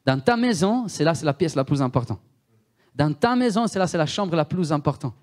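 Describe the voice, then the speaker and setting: A man's voice: on the high side, expressive, brisk, darkish tone. A man preaching a sermon in French with a strong Italian accent, Italian being his first language, emphatic and often repeating himself and asking the listeners questions.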